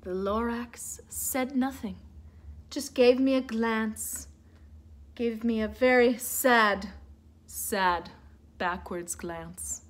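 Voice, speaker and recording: A woman reading a storybook aloud like a teacher to children, theatrical and expressive, with asides to her listeners. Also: on the high side. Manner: theatrical, expressive, drawn-out emphasis.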